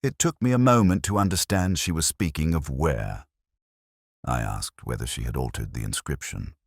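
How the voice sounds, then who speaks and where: A man playing a brooding police inspector who narrates his investigation in measured, solemn, reflective literary prose. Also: rumbling low, creaky, husky, no echo, studio quality, heavily acted. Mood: solemn, hushed. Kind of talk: literary first-person scripted narration.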